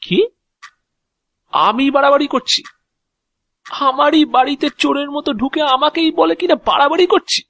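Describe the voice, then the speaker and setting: A man's voice: extremely high pitch, normal speed, creaky, long pauses, a bit bright, dry recording, exaggerated voice. Man playing a flustered, exasperated householder, dry sound. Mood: indignant. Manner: stammering, agitated, sarcastic. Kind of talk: audio drama acting.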